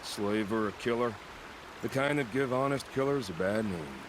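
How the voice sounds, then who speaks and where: An actor playing a wry, sinister wandering outlander killer in a video game, musing with sardonic dark humour. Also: male voice, fairly low pitch, expressive, noisy background, natural voice. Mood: darkly amused. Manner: sardonic, musing, unhurried phrasing. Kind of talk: dramatic video game dialogue.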